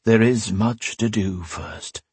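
A grave old priest with a low, sad voice, as a man puts him on, speaking slowly and gently. The tone is husky and soft, recorded close and dry. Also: no room sound, full character voice. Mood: solemn. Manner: low, sad, slow and solemn. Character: old priest, sorrowful and calm, ghostly.

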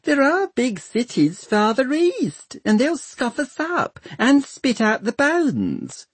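A man's voice: very high voice, wildly swooping pitch, slow pace, breathy edge, dry recording, voice pushed up, full character voice. A man voicing an anxious, grumbling female servant, fretful and muttering, in an audiobook story. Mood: worried.

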